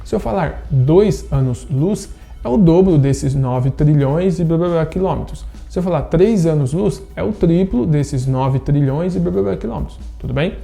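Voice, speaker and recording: A man in his thirties with a Brazilian Portuguese accent, teaching a science lesson online and explaining step by step, often checking in with quick tag questions. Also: a little high, creaky, mellow, faint music behind. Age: 30s. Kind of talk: online class lecture.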